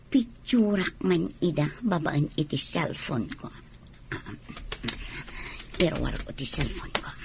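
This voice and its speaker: A woman's voice: mid-range voice, slight background noise. An actress playing a scheming wife in an Ilocano radio drama, who teases and sweet-talks her husband. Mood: sneaky.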